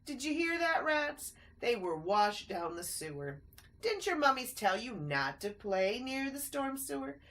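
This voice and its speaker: A woman's voice: medium pitch, very animated, takes it slowly, few pauses, a bit bright, full character voice. A woman voicing a mean male sewer rat who leads a gang, speaking in a sly, taunting way in a children's story. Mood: mocking.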